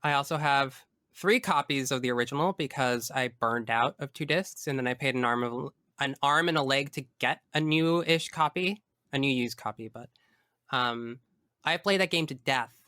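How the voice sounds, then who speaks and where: A man's voice: mid-range voice, moderate pace, sharp tone, dry recording, clean studio sound. A man speaking as a podcast guest, chatting casually and effusively about video games with emphatic phrasing.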